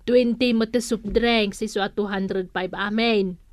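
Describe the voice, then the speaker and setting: A woman's voice: medium pitch, steady tone, measured pace, no room sound, lightly acted. A woman playing a sly village storekeeper in a comedic radio drama, with a Northern Philippine accent and a sheepish, muttering way of talking. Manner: mutters sums to herself, chuckles sheepishly. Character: sly village storekeeper councilwoman who pads customers' debts.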